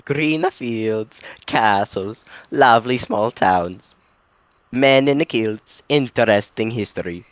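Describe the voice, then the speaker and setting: A woman's voice: rumbling low, takes it slowly, no room sound. A woman voicing a dreamy, flustered young woman who works in a cafe, drawing out her words.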